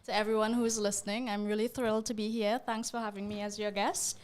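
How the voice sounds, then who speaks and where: A woman greets everyone enthusiastically as a guest. Her voice has a medium pitch. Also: little pitch movement, quick pace. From Trinidad.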